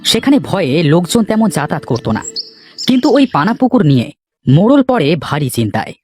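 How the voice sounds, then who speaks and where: A cartoon storyteller, voiced by a man, narrates a village tale. The voice is high and animated, with faint music behind it. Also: fairly quick. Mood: narrating.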